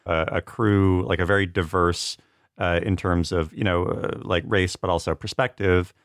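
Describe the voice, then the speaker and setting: Deep-voiced man in informal chat. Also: fairly even intonation, dry recording, clean studio sound. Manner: halting, informal, full of "like" and "you know". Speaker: comedy writer. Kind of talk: casual panel chat.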